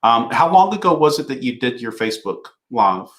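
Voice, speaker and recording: A man hosting a casual livestream Q&A, talking things through in a relaxed way with plenty of fillers. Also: medium pitch, fairly quick. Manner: relaxed, thinking aloud with fillers. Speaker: host.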